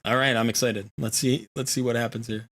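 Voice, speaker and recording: A man with a bright, forceful voice hosts a casual gaming livestream. He talks in a chatty, jokey way at an ordinary mid pitch. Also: fairly even intonation, a little fast. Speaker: livestream host.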